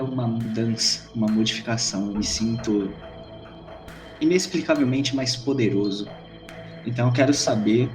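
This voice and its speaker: A man playing a tabletop game, narrating his character's actions in the first person with articulate, wordy phrasing. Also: medium pitch. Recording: roomy echo under faint music.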